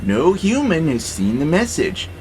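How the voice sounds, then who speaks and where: An actor playing a gentle, philosophical freight robot in an audio drama, calmly persuasive and playfully sarcastic. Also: male voice, on the high side, unhurried, slight background noise. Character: gentle, wry, philosophical male freight-hauling robot, peaceable.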